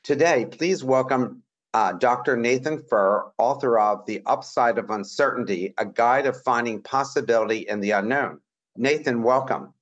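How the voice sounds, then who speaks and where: A man's voice: mid-range voice, moderate pace, highs cut off. A man hosting a business interview show, enthusiastic and a bit hesitant, with fillers. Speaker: podcast host. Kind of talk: interview host chat.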